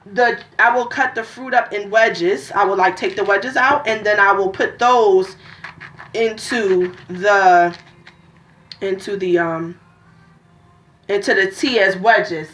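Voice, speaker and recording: A woman's voice: on the high side, pushed and bright, a little hiss or hum. A woman, chatting casually as she demonstrates juicing in her home kitchen, rambling with many ums and restarts. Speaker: vlogger.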